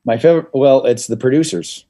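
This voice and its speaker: A man's voice: mid-range voice, brisk, dark tone. A middle-aged man, a comedy writer and actor, chatting as a podcast guest in a rambling, self-interrupting way with dry jokes.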